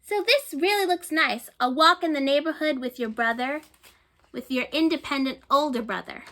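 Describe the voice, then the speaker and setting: A woman with a high, light voice reads a children's storybook aloud. She pauses to think aloud and to put questions to her young listeners. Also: bright, forceful tone. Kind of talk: picture book read-aloud with asides to listeners.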